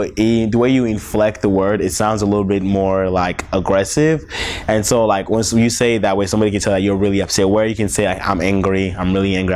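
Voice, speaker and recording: A young man from Nigeria with a Nigerian accent, Yoruba his first language, giving halting casual interview answers full of "like" fillers. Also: on the low side, a little mellow, a little hiss or hum.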